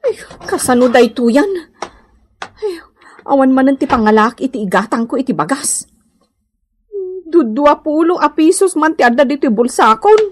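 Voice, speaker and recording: A woman playing a fretful, scheming housewife in a radio comedy drama, with an Ilocano accent and an exclaiming, expressive delivery. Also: high-pitched, normal speed, pauses often. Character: fretful, poor, scheming Filipino housewife and mother who gambles.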